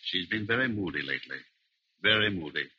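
An actor playing a husband in an old radio suspense drama, hesitant and evasive, with feigned concern for the young woman he quietly undermines. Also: low-pitched, animated, brisk, slightly rough, no echo, exaggerated voice. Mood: grave, insinuating. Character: soft-spoken, insinuating husband quietly undermining his young ward. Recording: muffled old radio recording.

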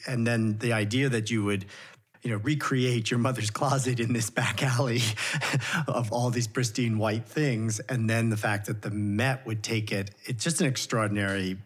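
A man's voice: mid-range voice, fairly even intonation, normal speed, breathy edge. A man hosting a podcast, asking admiring, searching questions of his guest. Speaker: podcast host. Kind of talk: interview questions.

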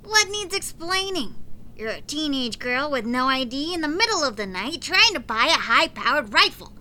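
High, bright, no-nonsense pawn shop dealer. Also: female voice, sing-song, normal speed, a little hiss or hum, full character voice. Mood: exasperated, firm. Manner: teasing, no-nonsense. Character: brisk, motherly female pawn shop weapons dealer. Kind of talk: audio drama acting.

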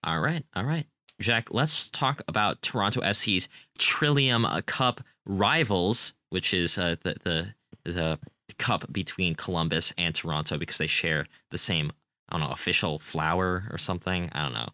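Young man, very low voice, forceful bright tone, dry close sound, enthusiastic rambling soccer chat. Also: moderate pace, no room sound. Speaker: podcast co-host. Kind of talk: casual soccer preview chat.

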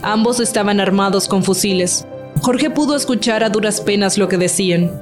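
A woman's voice: medium pitch, moderate pace, over music. A woman delivering scripted narration as the storyteller of a radio drama.

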